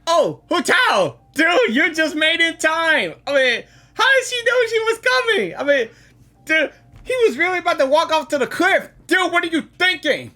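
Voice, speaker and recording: A woman's voice: very high voice, big pitch swings, normal speed, bright, forceful tone. A woman giving spontaneous reaction commentary on a game, exclaiming and repeating her words. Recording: game music behind the voice.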